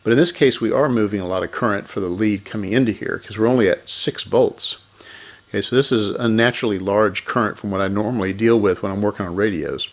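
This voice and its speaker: A man talks in a fairly low voice with plenty of vocal fry. He explains hands-on repair work without a script. He reasons step by step and corrects himself along the way. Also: fast talker. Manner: methodical, thinking aloud with self-corrections. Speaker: electronics repair host. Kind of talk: unscripted hands-on repair commentary.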